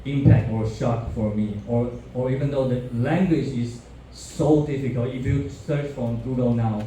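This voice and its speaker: A man in his thirties from Taiwan giving a talk to university students, halting, with many restarts and fillers. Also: mid-range voice, fairly even intonation, warm tone, far from the mic, a little hiss or hum. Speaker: guest speaker. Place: university classroom. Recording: echoey lecture room from afar.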